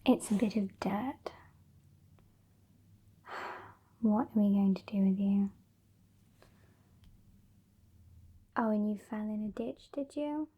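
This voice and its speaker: A woman playing a haughty, impatient British female vampire in an ASMR roleplay, whispering and speaking softly in a breathy, condescending way. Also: mid-range voice, close to an everyday voice. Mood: mocking, grudging. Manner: slow, condescending, withering. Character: sassy, haughty, impatient female vampire scolding her weakling fledgling.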